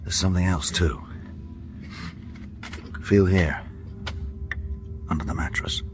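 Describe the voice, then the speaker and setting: An actor playing a weary, ailing middle-aged father held prisoner in a radio drama, secretive and gravelly. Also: low-pitched, steady tone, slow, background noise. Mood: conspiratorial.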